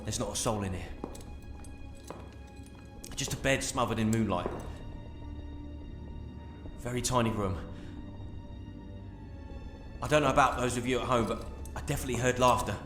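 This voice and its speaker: A man playing a frightened, excitable paranormal investigator presenting live TV in an audio drama. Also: medium pitch, normal speed, long pauses, roomy sound, over music, lightly acted. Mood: hushed excitement. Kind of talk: full-cast audio drama acting.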